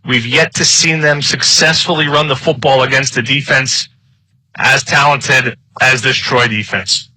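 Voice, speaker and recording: A man's voice: mid-range voice, fairly even intonation, unhurried, bright, forceful tone, no room sound, low-quality recording. An American man working as a color commentator, giving live analysis of an American football game.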